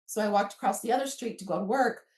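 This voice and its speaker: A woman's voice: medium pitch, quick pace, a little mellow. An American woman in her fifties telling a personal story as a podcast guest, rambling along and acting out snatches of dialogue. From Alaska, United States. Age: 50s. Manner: rambling, quotes dialogue as she goes. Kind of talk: animated personal storytelling in a conversation. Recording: echoey room, distant mic.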